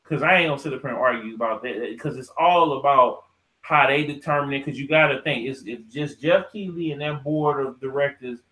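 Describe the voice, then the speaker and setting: An American man co-hosting a casual podcast debate, talking in slang, often halting and repeating himself. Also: mid-range voice, pushed and bright, reverberant, rough recording. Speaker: podcast co-host.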